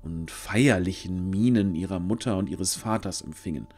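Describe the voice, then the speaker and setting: A male narrator tells a fantasy tale in scripted storytelling style. His voice sits slightly low, with music playing behind it. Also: moderate pace, a little mellow.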